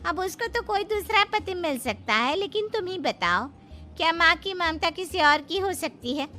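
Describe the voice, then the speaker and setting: A woman's voice: extremely high pitch, animated, moderate pace, pushed and bright, exaggerated voice. A woman voicing a nosy, gossipy friend who scolds and provokes with questions in a storybook drama. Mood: stirring trouble. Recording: music behind the voice.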